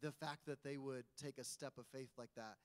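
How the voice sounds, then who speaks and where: A man's voice: mid-range voice, steady tone, moderate pace, clean tone, long reverb tail. Earnest man telling his story. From Indiana, US. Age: 40s. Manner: earnest storyteller, lots of ums. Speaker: guest ministry leader. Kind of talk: personal testimony. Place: church sanctuary.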